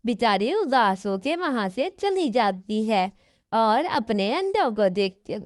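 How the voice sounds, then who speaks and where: A woman storyteller with a high voice and very lively intonation. She narrates a children's tale slowly and sympathetically, in a dry, close recording. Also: dry recording.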